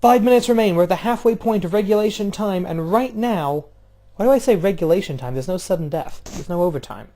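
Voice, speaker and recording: A man doing casual play-by-play of a video game wrestling match, jumping between loud outbursts and low, offhand, swearing asides. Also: expressive, brisk. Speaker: play-by-play commentator.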